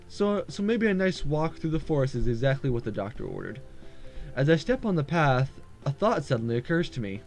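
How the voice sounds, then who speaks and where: A fairly high-voiced man reads a visual novel aloud for a gaming video. His delivery swings up and down a lot, with casual asides and small stumbles, and soft music plays behind him. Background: game background music.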